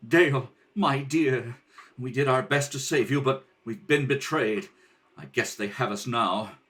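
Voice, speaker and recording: A man playing a bold, defiant young space hero in a radio serial, earnest and forceful. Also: mid-range voice, measured pace, pitched below the performer's own voice, natural voice. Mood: rueful.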